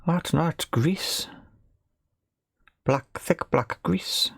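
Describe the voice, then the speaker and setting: A man's voice: a little high, very slow, no echo, clean studio sound. A man gives casual, thinking-aloud commentary on a repair job, tentative and often questioning himself. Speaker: host of a hobby radio repair video. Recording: clear background noise with clicking.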